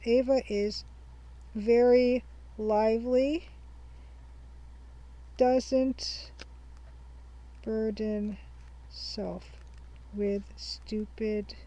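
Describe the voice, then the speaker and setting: A young woman hosting a game playthrough, her voice sitting a little high as she chats casually. She thinks aloud and reads her notes back slowly. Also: warm tone, no echo. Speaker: let's-play host. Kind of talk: casual let's-play commentary.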